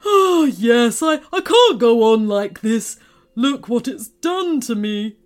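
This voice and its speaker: A woman's voice: a little high, lively intonation, slow. A woman voicing a giant red male alien villain in a children's story, blustering, weepy and melodramatic, with music behind. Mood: sorrowful. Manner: wailing, bellowing, melodramatic.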